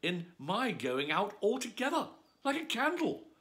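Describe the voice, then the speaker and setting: Guy putting on a fretful little girl voice, high and fluttery, swooping all over, bright tone. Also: huge pitch swings, a little slow, exaggerated voice. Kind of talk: storybook reading aloud.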